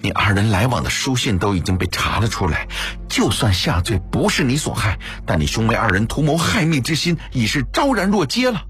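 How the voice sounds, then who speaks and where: Guy doing a proud young empress for an audiobook, defensive, pitched up. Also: sing-song, a little fast, fairly bright tone, soft background music. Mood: haughty, accusing. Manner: imperious, timid before her elder. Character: haughty young empress, defensive, sharp with rivals.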